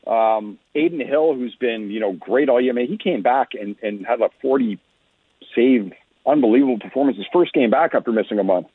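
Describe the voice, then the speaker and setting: Phone-line male hockey analyst, slightly husky. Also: medium pitch, fairly quick, dry recording. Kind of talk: radio sports interview analysis. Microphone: remote phone-like connection. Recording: narrow phone-like line, muffled detail.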